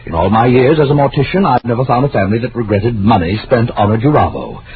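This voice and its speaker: Actor as middle-aged mortician, unctuous, hesitant, solicitous, animated intonation, old radio drama, thin phone-like sound with faint noise. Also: male voice, mid-range voice, fast talker, lightly acted. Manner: hesitant, salesmanlike, throat-clearing, solicitous. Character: fussy, unctuous mortician selling caskets. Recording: tinny, noisy old radio recording.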